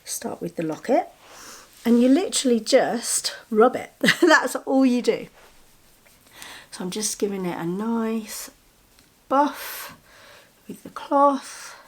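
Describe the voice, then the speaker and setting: A woman's voice: fairly high pitch, lively intonation, takes it slowly, pauses often. Woman vlogger, a bit congested from a cold, chatty and enthusiastic, show-and-tell to camera. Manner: chatty, enthusiastic, with soft giggles. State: a little bunged up with a cold. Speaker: vlogger showing gifts.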